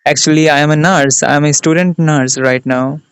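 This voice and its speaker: Clear, higher-pitched young Indian man. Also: steady tone, normal speed. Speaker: conversation partner asking questions. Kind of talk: casual English practice chat.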